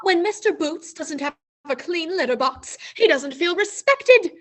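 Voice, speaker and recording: A woman's voice: extremely high pitch, lively intonation, measured pace. A young woman in a school stage play, playing a snippy, temperamental actress and wife. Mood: indignant. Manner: snippy, indignant. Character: temperamental actress wife, touchy about her process.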